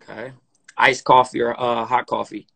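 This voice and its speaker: A man in his thirties hosts a casual chat from a dim room, speaking into a phone on a live stream. His delivery is relaxed and loose, full of small restarts and repeated words. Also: medium pitch, normal speed, vocal fry, slightly rough. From Compton, California. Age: 30s. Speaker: podcast host. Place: dim room indoors, on a live video call. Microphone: phone camera, split-screen live stream.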